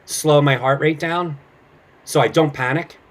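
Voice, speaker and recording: A man's voice: a little high, steady tone, measured pace. A middle-aged man chatting casually as an interview guest, telling stories in an informal way and acting out bits of dialogue. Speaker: guest, actor and improv comedian. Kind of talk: casual chat, anecdotes.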